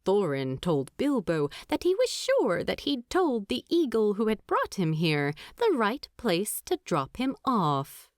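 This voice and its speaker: A woman reads a story aloud at a slow, deliberate pace. Her medium-pitched voice moves expressively up and down, recorded dry like an audiobook. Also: no room sound. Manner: unhurried, expressive, deliberate phrasing. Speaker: narrator. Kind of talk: scripted fiction narration, audiobook storytelling.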